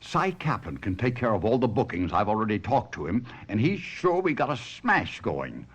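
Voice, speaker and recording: A man's voice: on the low side, big pitch swings, normal speed, a bit bright, husky, full character voice. An actor playing a devoted but restless husband, a ventriloquist telling his own story in a 1970s radio drama. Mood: earnest, reassuring. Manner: dramatic, reflective first-person storytelling. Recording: muffled radio broadcast, music behind.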